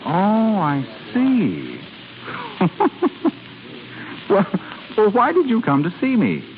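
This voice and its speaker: Suave, swooping 1930s radio suitor. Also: male voice, high-pitched, huge pitch swings, drawn-out words, full character voice. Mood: bold, challenging. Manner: smooth, teasing, with hesitant throat-clearing. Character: suave, teasing male admirer, secretive and ardent. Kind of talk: 1930s radio drama acting. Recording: tinny, noisy 1930s radio broadcast.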